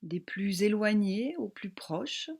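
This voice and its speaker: A woman yoga teacher guiding a relaxation meditation in a calm, gentle, soothing manner with long pauses. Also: medium pitch, breathy edge.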